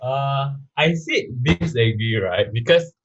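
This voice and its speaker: A man's voice: medium pitch, lively intonation, slow pace, a bit bright, muffled recording. A young Singaporean man in his twenties, talking bluntly and offhandedly as a guest on a casual panel, full of "you know" and "right". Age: 20s.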